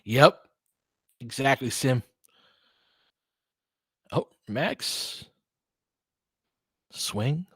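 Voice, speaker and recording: A man doing casual live basketball play-by-play as a fan analyst, in clipped phrases, swinging between muttered asides and excited calls. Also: fairly low pitch, breathy edge, dry recording.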